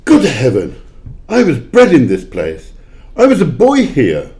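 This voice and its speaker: Man acting a wistful old miser, swooping pitch. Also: huge pitch swings, a little slow, warm tone. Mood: astonished, joyful. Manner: exclamatory, emotional. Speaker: amateur library staff actor. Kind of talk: audio play acting.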